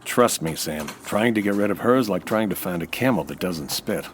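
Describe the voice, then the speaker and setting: Low-voiced hard-boiled American noir hero. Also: male voice, animated, brisk, creaky, a little hiss or hum. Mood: defensive. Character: hard-boiled American nightclub owner, wry and cynical. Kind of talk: 1940s detective radio drama narration and dialogue.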